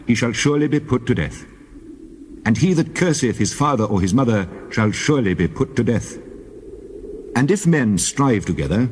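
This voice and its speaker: A man playing God as a solemn, commanding deity, measured and declamatory in a dramatized scripture reading. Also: lowish voice, darkish tone, a little hiss or hum.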